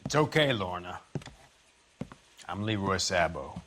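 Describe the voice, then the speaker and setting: A man's voice: sits low, expressive, unhurried, a bit bright, slight background noise. An actor playing a testy, guarded American man in a 1940s crime video game, terse and a little smug. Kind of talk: dramatic video game acting.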